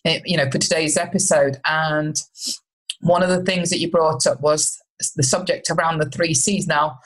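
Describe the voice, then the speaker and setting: A woman with a slightly low voice hosts a relationship podcast. She chats in a friendly, conversational way and sometimes restarts her sentences as she goes. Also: fairly even intonation, moderate pace, rough recording. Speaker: podcast host, relationship coach. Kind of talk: casual interview chat.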